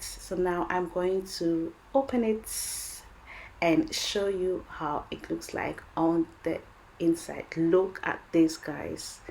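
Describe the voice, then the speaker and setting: A woman's voice: lowish voice, a little mellow, slight background noise, rough recording. A woman with a French accent hosting a cooking channel, talking viewers through a recipe in a chatty, encouraging way. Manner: chatty, encouraging, addresses viewers as guys and friends. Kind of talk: recipe voice-over.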